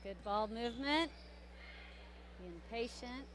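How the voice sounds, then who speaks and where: Woman, play-by-play for local school basketball, chatty, a touch high-pitched, gets excited on plays. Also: takes it slowly. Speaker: basketball commentator. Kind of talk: live play-by-play commentary. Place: gym during a high school game.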